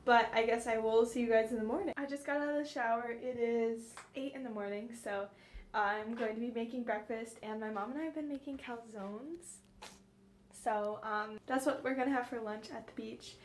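A woman's voice: on the high side, steady tone, normal speed, a little hiss or hum. A young woman chatting upbeat to a phone camera held at arm's length, with many fillers, indoors at home and outside at a beach. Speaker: vlogger. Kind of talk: casual vlog chat. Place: home bathroom and kitchen, sunny beach outdoors.

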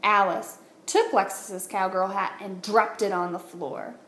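A woman, a teacher, reading a children's picture book aloud with expressive, deliberate phrasing. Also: medium pitch. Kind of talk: read-aloud storytelling for children. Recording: roomy echo, light background noise.

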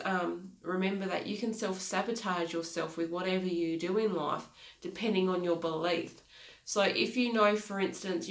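A woman in her thirties with a congested, nasal voice, giving an earnest motivational talk as a life coach. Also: medium pitch. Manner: earnest, repeats key phrases, gestures with hands. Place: small home office, indoors. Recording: echoey room.

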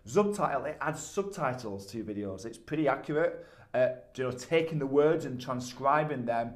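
A man's voice: medium pitch, expressive, moderate pace. An emphatic man in his thirties with a Yorkshire accent talks straight to camera in a casual, chatty way. He stresses key words and often doubles back on a word before carrying on. He is recorded in a small office at desk distance. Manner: emphatic, conversational, repeats words when restarting. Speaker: business coach. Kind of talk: casual talking-head advice.

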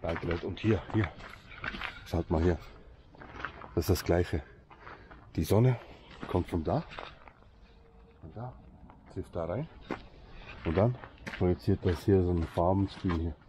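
A man's voice: sits low, takes it slowly, pauses often, noisy background. A Swiss man whose first language is Swiss German, speaking with a Swiss accent, chatting and joking to his viewers outdoors by a lake. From Switzerland. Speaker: vlogger. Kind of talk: casual vlog commentary to viewers.

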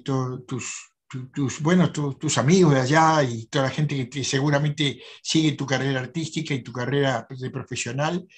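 An older man hosting a conversational interview over a video call. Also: fairly high pitch. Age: older, 60s or over. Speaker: interview host. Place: indoors at a desk, on a video call. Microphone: video call, close to the camera.

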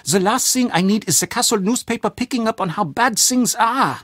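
A man voicing a fussy, excitable, self-important German burgomaster in a video game, with a pompous, emphatic manner and a German accent. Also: high-pitched, brisk, no echo, clean studio sound. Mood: anxious, officious. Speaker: castle Bürgermeister. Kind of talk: video game character acting.

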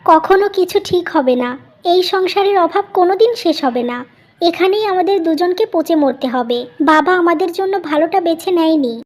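A woman acts out a young wife from a cartoon morality tale. She is high-voiced and resentful, complaining about her poor married life. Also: lively intonation, normal speed, a little mellow. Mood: bitter. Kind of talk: Bengali cartoon story voice acting.

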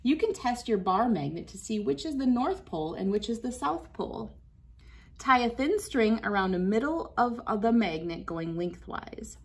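A woman's voice: fairly high pitch, expressive, moderate pace. Woman reading aloud to young children. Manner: instructive, addressing young children. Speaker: children's read-aloud host. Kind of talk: reading a picture book aloud with casual demonstration asides. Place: small wood-panelled room indoors.

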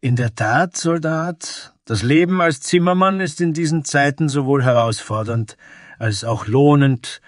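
A man voicing a proud, earnest dragonkin carpenter in a fantasy audio story, speaking deliberately. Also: a little high, no room sound, clean studio sound, pitched above the performer's own voice, natural voice.

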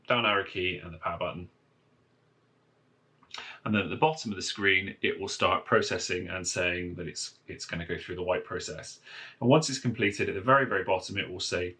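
A man with a deep voice and a crisp, bright edge talks through a phone repair tutorial at a desk. He rambles a bit, restarting sentences and filling gaps with "um" and "uh" as his hands work on the device off camera. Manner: rambling, many fillers and restarts. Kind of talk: casual how-to walkthrough. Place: indoors at a wooden desk, filming a phone from above.

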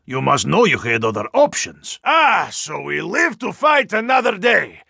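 An actor playing a hulking, frozen Russian super-soldier in a video game, speaking formally and deliberately, earnest and stoic, with a Russian accent. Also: male voice, high voice, wildly swooping pitch, gravelly, bright, forceful tone, no room sound, studio quality, heavily acted. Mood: forceful, resolute. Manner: formal, deliberate, literal-minded phrasing with dropped articles.

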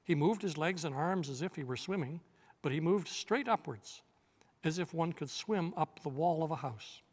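An older man reading a children's novel aloud in a measured, expressive way, seated in an armchair on a studio set. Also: fairly high pitch, big-room sound. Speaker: reader.

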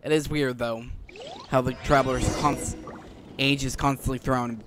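A man with a mid-pitched voice chats casually through a video game playthrough. He rambles and halts, rewording as he goes, with game music clearly audible behind him. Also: fairly even intonation. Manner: rambling, halting, often rephrasing. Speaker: gaming video creator.

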